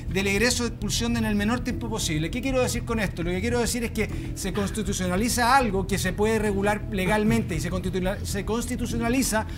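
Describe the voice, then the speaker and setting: Male panelist, high voice, rapid insistent legal arguing with stammered repeats, music underneath. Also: background noise. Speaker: debate panelist. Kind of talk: formal legal argument in TV debate. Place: TV studio panel desk. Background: music under the talk.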